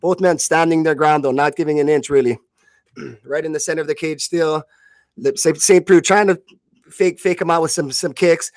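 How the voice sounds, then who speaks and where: A man's voice: a little high, steady tone, normal speed, dry recording. A man giving live radio play-by-play of a fight as commentator, often stumbling and correcting himself mid-phrase.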